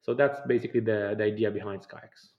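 Israeli-accented founder, roomy remote audio. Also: male voice, fairly low pitch, steady tone, quick pace, muffled recording. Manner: earnest, explains at length with fillers and non-native phrasing. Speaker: startup founder and CEO, guest. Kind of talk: podcast interview answers.

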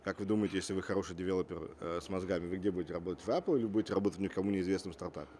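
Assertive guy from russia, talks fast, lowish voice, keeps throwing rhetorical questions. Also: fairly even intonation, dark tone, slight background noise. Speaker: entrepreneur guest. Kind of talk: casual interview talk.

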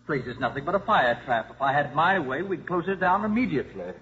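An actor playing a blunt, impatient church official with a brusque, formal manner in a 1950s radio drama. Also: male voice, on the high side, sing-song, fairly quick. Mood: stern, disapproving. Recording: muffled 1950s radio, faint noise.

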